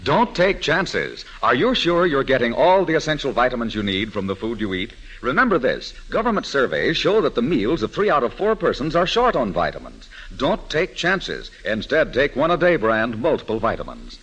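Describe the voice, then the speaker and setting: A man's voice: mid-range voice, huge pitch swings, normal speed, few pauses, a bit bright, breathy edge, dull audio. An American man reading an emphatic, persuasive 1940s radio advertisement on an old broadcast recording. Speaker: commercial announcer.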